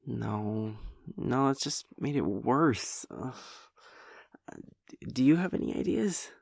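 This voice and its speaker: A man's voice: mid-range voice, animated, slow pace, gravelly, darkish tone, no echo, clean studio sound, full character voice. A man playing a shy, awkward young demon hunter in an anime audio drama, stammering, hesitant and apologetic. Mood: awkward, unsure.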